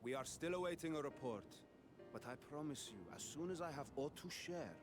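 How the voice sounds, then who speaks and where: An actor playing a noble, steadfast ruler in a video game, speaking in a formal, measured, oratorical manner. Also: male voice, a little high, lively intonation, music in the background, lightly acted. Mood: firm calm. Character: noble, steadfast male ruler addressing his people.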